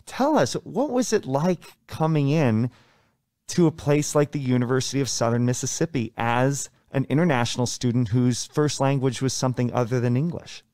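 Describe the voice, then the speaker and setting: A young American man hosts an interview in deliberate phrases. His voice is mid-pitched and recorded close and dry, through a face mask. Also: a little mellow, dry recording. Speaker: podcast host. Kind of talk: conversational interview. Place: meeting room, speaking through a face mask. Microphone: close studio mic on stand.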